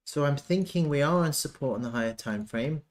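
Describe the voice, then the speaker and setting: Man casually thinking aloud, halting delivery. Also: a little high, animated. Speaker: trading analyst presenting chart analysis. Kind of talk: casual off-the-cuff chart commentary.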